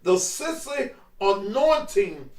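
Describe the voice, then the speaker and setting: A man's voice: sits high, very animated, slow pace, darkish tone, roomy sound, distant mic. A middle-aged man hosting a call-in talk show, taunting and mocking a caller in crude language. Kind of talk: heated call-in radio argument.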